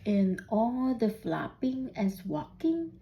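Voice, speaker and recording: Female storybook reader, higher-pitched and soft-toned, very slow and halting, faint noise behind. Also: animated, creaky. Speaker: student reading a picture book aloud. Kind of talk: storybook read-aloud with questions to young listeners.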